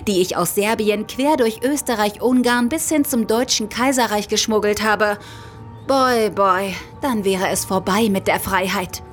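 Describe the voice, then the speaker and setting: A woman's voice: medium pitch, lightly acted. A woman playing a worldly, self-assured young smuggler in a radio play, wry and guarded as she tells her story. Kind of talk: first-person radio play narration.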